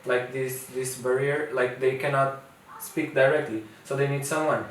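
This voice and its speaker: A twenty-year-old man whose first language is Portuguese chats about student life, hesitating and restarting often. His voice is mid-pitched and sits well back from the mic. The room rings heavily, with faint ambience behind. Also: level tone, moderate pace, heavy reverb, distant mic, a little hiss or hum, rough recording. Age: 20s. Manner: hesitant, many fillers and restarts. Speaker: interviewee, international student. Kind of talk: casual interview chat.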